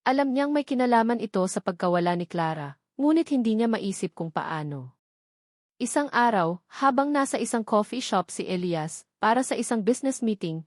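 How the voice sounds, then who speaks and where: A woman's voice: mid-range voice, darkish tone, no echo, clean studio sound. A woman reading a scripted romantic drama story as its narrator.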